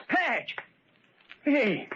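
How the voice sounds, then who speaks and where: A muffled, lightly hissing old radio recording of a jittery hotel man. His voice is very high for a man and swoops about as he stammers in a folksy, hesitant way. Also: huge pitch swings, extremely slow, bright, forceful tone, exaggerated voice. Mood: nervous. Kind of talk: old-time radio drama acting.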